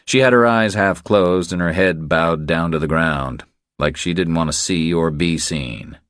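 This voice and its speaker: A man reading scripted audiobook narration in a measured storytelling manner. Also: deep voice, fairly bright tone, no room sound, studio quality.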